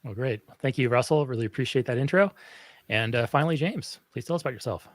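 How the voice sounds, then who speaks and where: A man's voice: mid-range voice, dry recording. A man moderating a livestream panel, talking enthusiastically with many ums and uhs. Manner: filler-heavy, enthusiastic, halting.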